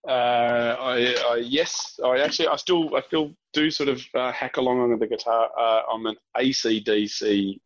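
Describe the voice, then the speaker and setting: A man's voice: medium pitch, fairly even intonation, normal speed, hardly any pauses, no room sound, muffled recording. A man with an Australian accent chatting casually as an interview guest, answering questions. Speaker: guest, real estate director and auctioneer.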